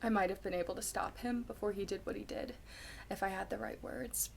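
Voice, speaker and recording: A woman performing a candid, self-deprecating young woman in a dramatic stage monologue, with halting, confessional delivery and dramatic pauses. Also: mid-range voice, fairly even intonation, gravelly, slight background noise, lightly acted. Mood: haunted, regretful.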